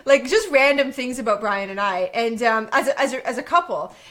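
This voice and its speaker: A woman's voice: a little high, a little fast, a bit bright. A woman co-hosting a live online relationship show, chatting casually and explaining things in a conversational way. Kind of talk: casual live-stream chat.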